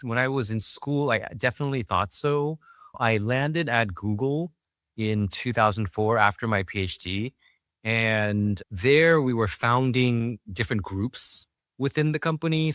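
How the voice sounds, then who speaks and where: A man from Taiwan, a guest investor, answering interview questions in a thoughtful, measured way. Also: fairly low pitch, a bit bright, no echo, clean studio sound.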